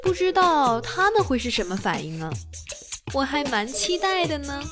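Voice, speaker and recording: A woman's voice: high-pitched, sing-song, normal speed, music in the background. A woman acting a domineering, scheming young woman in an amateur audio drama, theatrical and teasing. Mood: smugly teasing.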